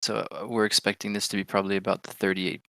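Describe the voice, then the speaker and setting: Gravelly, bright-toned man hosting a trading stream. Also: deep voice, fast talker, no echo. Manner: chatty, excitable, thinks aloud. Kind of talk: live trading commentary.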